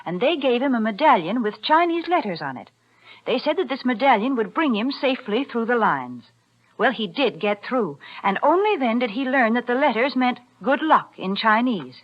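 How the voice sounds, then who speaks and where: A woman co-hosting as a 1940s radio sponsor spokeswoman, brisk, prim and persuasive. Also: mid-range voice. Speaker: commercial spokeswoman and co-host. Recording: dull 1940s radio recording.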